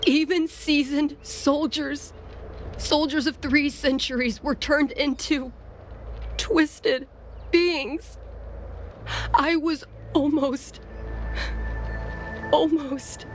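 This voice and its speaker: A woman playing a traumatised, fearful soldier in a fantasy game, halting and repeating herself. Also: high voice, fairly even intonation, slight background noise. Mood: terrified, dazed. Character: shaken, traumatised young female soldier, dazed and fearful. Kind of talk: scripted game dialogue.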